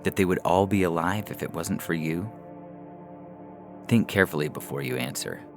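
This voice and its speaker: A man's voice: sits low, animated, moderate pace, over music. A man reading science fiction stories aloud as scripted narration for a podcast.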